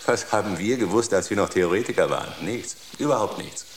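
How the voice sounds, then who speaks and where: An actor playing an obsessed visionary artist in a radio drama, with an emphatic, incantatory and repetitive way of talking. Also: male voice, lowish voice, a little fast, natural voice. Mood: defiant. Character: visionary, obsessed male artist, once a theoretician, rapturous. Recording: clear background noise.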